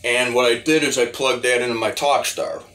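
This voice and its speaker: A man's voice: mid-range voice, steady tone, normal speed, a bit bright, rough recording. A man giving a casual, rambling demo as a hobby musician, often restarting his phrases. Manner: rambling, repeats starts of phrases. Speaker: hobbyist talk box musician.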